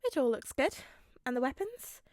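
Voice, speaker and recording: Woman doing a polite little villain boy for a story reading, composed and smug, highish voice. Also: big pitch swings, moderate pace, no echo, studio quality. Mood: cool, smug.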